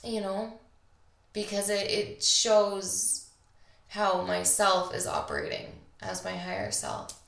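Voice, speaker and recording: A woman hosting a solo spiritual podcast, talking intimately and reflectively with a slightly raspy voice and drawn-out pauses. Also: mid-range voice, animated, fairly bright tone. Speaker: podcast host. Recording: echoey, roomy sound.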